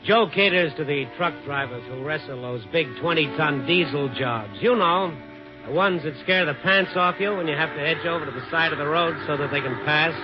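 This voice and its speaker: A man's voice: a little high, fairly quick, natural voice. An American man acting a wry, hard-boiled night reporter in a 1950s radio drama. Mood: wry narration. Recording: dull old radio recording, music behind.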